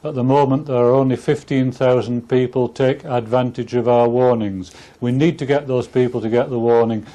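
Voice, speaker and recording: A man speaking as an official in a formal interview, in a measured way. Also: medium pitch, fairly even intonation, warm tone. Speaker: Environment Agency official.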